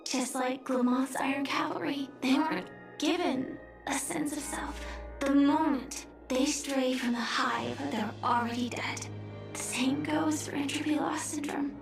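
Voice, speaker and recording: A woman voicing a grieving but resolute young knight in a video game, solemn, restrained and softly breathy. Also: high-pitched, steady tone, a little slow. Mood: solemn, reflective. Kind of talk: dramatic video game voice acting. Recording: roomy echo, music underneath. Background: music.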